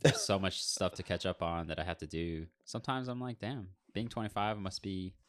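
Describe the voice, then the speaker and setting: A man around thirty with a low voice, talking in an easygoing, slangy way. He tells casual stories at an unhurried pace on a podcast. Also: steady tone, fairly bright tone. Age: 30s. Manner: laid-back, slangy storytelling. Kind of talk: casual chat.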